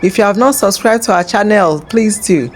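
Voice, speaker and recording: A woman narrating a scripted folktale as host, in a measured, dramatic storytelling manner. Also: mid-range voice, slight background noise.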